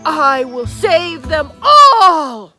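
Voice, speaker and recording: Very high-voiced older woman storyteller, theatrical. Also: very animated, loud music behind the voice. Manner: theatrical, emphatic, drawn-out adjectives. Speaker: teacher reading stories to children. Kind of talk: dramatic children's storytelling with asides.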